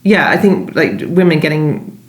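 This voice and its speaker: Thoughtful, halting woman in an echoey room. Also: low voice, sing-song. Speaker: interviewed novelist. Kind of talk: conversational literary interview.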